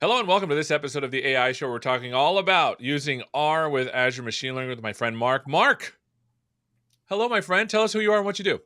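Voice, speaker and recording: A man's voice: on the high side, lively intonation, fast, sharp tone, faint music behind. A man hosting a live tech show, chatting casually and joking as he welcomes viewers. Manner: jokey, rambling and upbeat. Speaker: show host. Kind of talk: casual live-stream chat.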